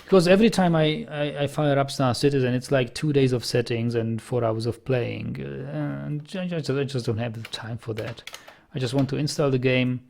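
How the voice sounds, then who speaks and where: Czech guy streaming a game, gravelly voice, thinks out loud and restarts words a lot, chats with viewers. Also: mid-range voice, normal speed, few pauses. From Czech Republic. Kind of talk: casual gameplay commentary, reading chat.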